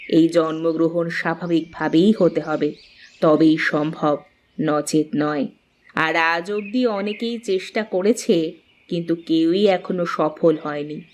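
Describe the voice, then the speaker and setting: A woman voices a grave village mother-in-law in a cartoon tale, cautioning and solemn. She speaks slowly in a lowish voice, measured like a storyteller. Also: slight background noise, natural voice. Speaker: narrator and voice actor. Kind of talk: scripted cartoon story narration.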